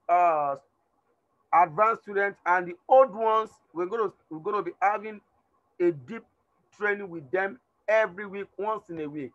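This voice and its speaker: A man with a hoarse, tired voice teaching a live online trading class, repeating himself and urging students to respond. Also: high voice, a bit bright, highs cut off. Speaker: trading instructor. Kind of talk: live online class over a chart screen.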